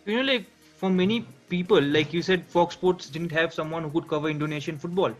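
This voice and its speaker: A man's voice: a little high, brisk, faint music behind. A young man from Nepal with a Nepali accent, casually asking questions as an interviewer on a video call from an indoor room. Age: young adult. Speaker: interviewer, show host. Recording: dull lo-fi video call audio.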